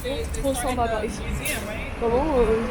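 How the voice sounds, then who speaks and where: A woman's voice: fairly high pitch, fairly even intonation, moderate pace, over a noisy crowd. A young woman chatting casually in an informal, slangy, enthusiastic way, as a travel vlogger out in city streets. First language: French. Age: young adult. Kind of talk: casual vlog chat. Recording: loud music behind the voice.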